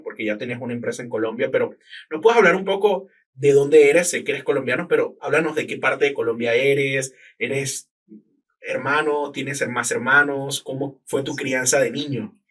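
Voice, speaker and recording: A man hosting a podcast interview, asking questions and chiming in with quick conversational interjections. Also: medium pitch, fairly bright tone, husky. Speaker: podcast host. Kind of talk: casual interview talk. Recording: voice somewhat far from the mic.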